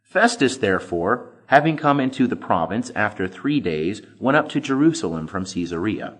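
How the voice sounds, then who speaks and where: Expressive man reading scripture, widely varied intonation. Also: lowish voice, husky. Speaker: Bible reader.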